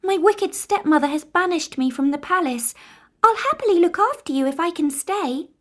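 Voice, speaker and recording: A woman voicing a sweet, timid young princess in a children's storybook, polite and gentle. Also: very high voice, animated, normal speed, pitched above the performer's own voice. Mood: pleading.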